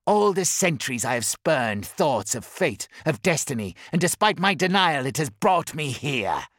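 Man performing a bitter vengeful warlord, slow grim delivery, loud and raised, dry studio. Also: on the high side, sing-song, no room sound, pitched above the performer's own voice. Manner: slow, grim, swelling into shouts.

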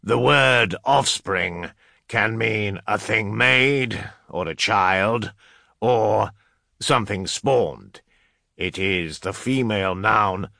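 Male reader as solemn male translator, sci-fi audiobook. Halting, precise, very slow, bright. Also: lowish voice, gravelly, no echo, studio quality, heavily acted. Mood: patient, explaining. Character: grave, careful male scholar translating cryptic chants.

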